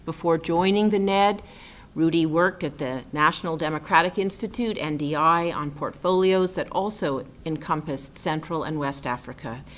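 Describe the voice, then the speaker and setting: A woman's voice: sits low, moderate pace. A woman moderator reading a formal, prepared introduction of the speakers at an event. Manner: formal, reads from a prepared text. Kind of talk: scripted introduction.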